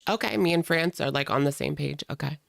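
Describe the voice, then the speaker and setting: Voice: mid-range voice, brisk, gravelly, a bit bright, no echo, studio quality. A reaction channel host talking casually in a blunt, mocking and sardonic way while commenting on a video.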